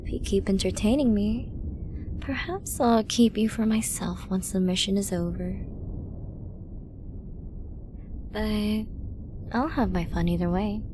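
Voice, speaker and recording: A woman acts out a menacing, seductive female villain in an ASMR audio roleplay. She speaks slowly in a mid-range voice, teasing and dominant, often sinking into a breathy whisper. There is clear noise behind her. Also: mid-range voice, natural voice. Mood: possessive, teasing.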